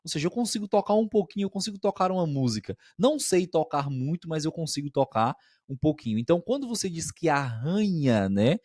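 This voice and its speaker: A man's voice: on the high side, very animated, fairly quick, darkish tone, no echo, clean studio sound. A man co-hosting a podcast as a language teacher, explaining expressions patiently and often checking that listeners follow.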